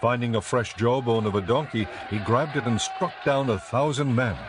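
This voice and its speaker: A man narrating scripture for a dramatized audiobook in a measured, solemn, scripted style. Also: medium pitch, background noise.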